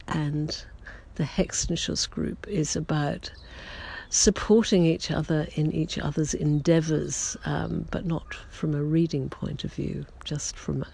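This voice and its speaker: A writer keeping a daily audio diary, talking to listeners in a gentle, halting way with many pauses and self-corrections, a little hoarse after losing their voice that morning. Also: mid-range voice. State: recovering from a lost voice, slightly hoarse. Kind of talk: slow reflective personal chat to listeners.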